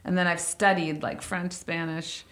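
A woman's voice: on the low side, little pitch movement, a little slow, a bit bright. A woman whose first language is Hungarian, a podcast guest talking politics in a thoughtful, hedging way. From United States. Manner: thoughtful, hedging, with small laughs. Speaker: podcast guest, former congressional staffer. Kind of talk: casual podcast conversation.

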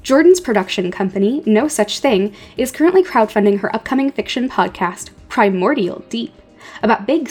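A woman's voice: medium pitch, a little mellow. A woman hosting a podcast, reading scripted narration with casual asides and wry, emphatic repetition. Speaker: podcast host. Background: music.

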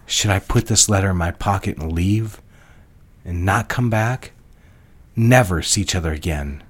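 An actor in an audio drama playing a controlling, possessive man who speaks slowly and quietly in a commanding tone. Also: sits low, husky. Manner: slow, hushed, commanding.

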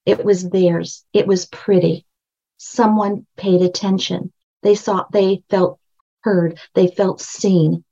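Older woman guest, high voice, emphatic storyteller, dull lo-fi sound. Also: steady tone, slow pace, creaky, warm tone. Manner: emphatic, storytelling, repeats phrases for stress. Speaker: guest, brand strategist and book coach. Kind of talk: podcast interview.

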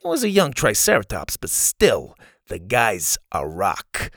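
Man voicing a gruff, easygoing camp counselor. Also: on the low side, wildly swooping pitch, measured pace, fairly bright tone, no room sound, studio quality, heavily acted. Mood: admiring.

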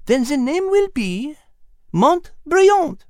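A woman's voice: high-pitched, animated, measured pace, darkish tone, no room sound. A woman plays a sweet, curious young woman in a storybook. Her voice bounces up and down. Recorded close and dry.

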